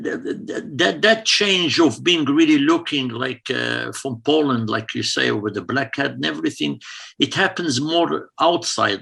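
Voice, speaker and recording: A middle-aged cantor from Morocco answers interview questions with a gravelly, husky voice that has a sharp, bright edge. He speaks haltingly and piles up repeated words. He laughs easily. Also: medium pitch. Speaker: cantor, guest.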